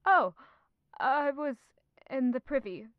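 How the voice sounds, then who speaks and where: A woman's voice: high voice, animated, slow pace, dry recording, highs cut off. An actress in an audio drama playing a guarded, evasive young noblewoman who claims to be unwell, answering reluctantly.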